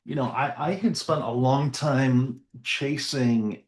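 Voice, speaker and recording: A man's voice: medium pitch, takes it slowly, a little mellow. Guy guest telling his story, earnest and rambling, sounds kinda roomy. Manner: earnest, rambling, with fillers and restarts. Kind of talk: personal storytelling in an interview.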